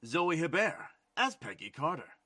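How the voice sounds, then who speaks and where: Male announcer for scripted credits: highish pitch, varied intonation, sharp bright tone. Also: sing-song, a little slow.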